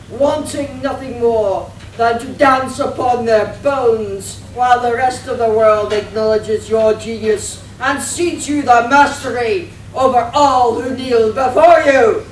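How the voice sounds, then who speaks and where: A woman narrating a live radio play as compere, in a theatrical, declamatory manner. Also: fairly high pitch. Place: convention hall before an audience. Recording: echoey, noisy live hall, distant mic.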